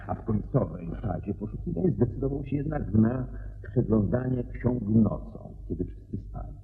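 A man acting in a radio play as a brilliant, assured detective, explaining methodically and deliberately with theatrical pauses. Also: mid-range voice, expressive, vocal fry. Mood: explanatory, measured. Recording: dull radio recording, faint noise and hum.

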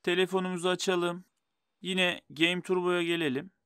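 Guy doing a phone how-to step by step, kinda high voice, dry close sound. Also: level tone, moderate pace, a bit bright, smooth, dry recording. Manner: methodical, step-by-step. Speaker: tech tutorial host. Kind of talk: instructional phone tutorial narration.

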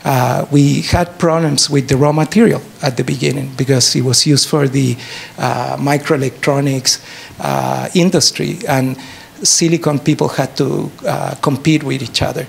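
Chilean-accented male academic, native Spanish speaker, heavy vocal fry, emphatic explainer in a noisy lecture theatre. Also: medium pitch, normal speed. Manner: animated explaining, short emphatic statements, rhetorical questions. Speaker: professor giving a talk. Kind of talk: answering an audience question at a public lecture. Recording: noisy lecture hall recording.

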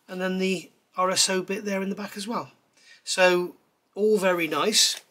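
Bright-voiced enthusiastic hobby reviewer man. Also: on the high side. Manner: chatty, enthusiastic, lots of ums and "absolutely stunning". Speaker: scale model reviewer. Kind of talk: casual hands-on product review. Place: indoors at a table.

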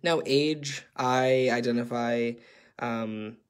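A man's voice: medium pitch, steady tone, takes it slowly, clear, resonant tone. Young man, Canadian accent, workshop facilitator, earnest, hesitant, lots of ums, video call mic. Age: young adult. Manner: earnest, hesitant with frequent filler sounds. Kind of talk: online workshop presentation.

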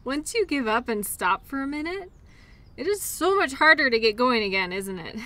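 Woman doing a caring playful girlfriend in a roleplay audio, highish bright voice, breezy and affectionate. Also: expressive, normal speed. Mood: playful, tired. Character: tired, playful, caring girlfriend at a mud race. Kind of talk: intimate roleplay audio acting, one-sided conversation.

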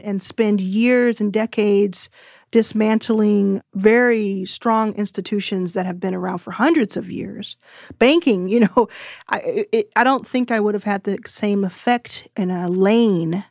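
Woman, 30s, podcast guest; measured and earnest, mid pitch, dry close-mic read. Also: a little mellow, dry recording. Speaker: guest investor. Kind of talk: reflective podcast interview.

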